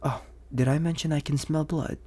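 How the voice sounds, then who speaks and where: A man voicing a suave, menacing, flirtatious vampire bounty hunter in an ASMR roleplay, teasing in breathy half-whispers with lingering pauses. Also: on the high side, fairly even intonation, a little mellow. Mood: intrigued, sly. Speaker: voice actor.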